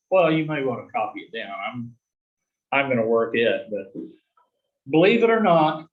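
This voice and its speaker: A man's voice: fairly high pitch, dull audio. A man from the south-central US teaching an algebra class, folksy and fond of corny jokes, often checking in with "right?". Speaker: algebra instructor. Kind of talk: classroom lecture. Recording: echoey classroom, distant mic.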